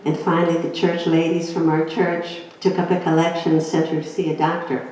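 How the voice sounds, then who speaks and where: A woman's voice: deep voice, level tone, brisk, darkish tone, a little hiss or hum. A woman from Greece, giving a chatty inspirational talk as a guest speaker on a handheld mic, telling her story with light humor. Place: church hall. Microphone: handheld microphone. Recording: echoey hall, picked up from a distance.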